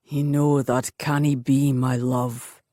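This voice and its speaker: A woman voicing a brooding, cursed Highland man with a low, strained voice. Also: little pitch movement, takes it slowly, warm tone, no room sound, studio quality, exaggerated voice. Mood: tormented.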